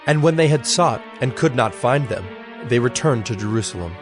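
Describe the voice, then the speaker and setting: Measured male scripture narrator over soft music. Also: mid-range voice, expressive.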